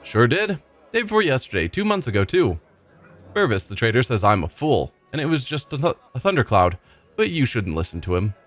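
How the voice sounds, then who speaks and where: A man's voice: mid-range voice, very animated, brisk. A man voicing a cheerful, simple-minded male village fisherman who rambles and repeats himself proudly. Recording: faint game music behind.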